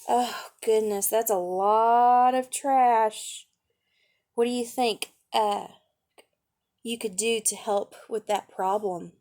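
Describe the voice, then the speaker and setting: A woman reading aloud to children as a storytime teacher, gently explaining and asking questions along the way. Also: on the high side, steady tone, slow pace.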